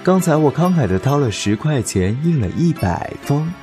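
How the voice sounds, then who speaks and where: A man playing a melodramatic, clingy, self-pitying young boyfriend in a comic audio drama, with cheeky, mock-solemn and drawn-out delivery. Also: fairly high pitch, very animated, warm tone, soft background music.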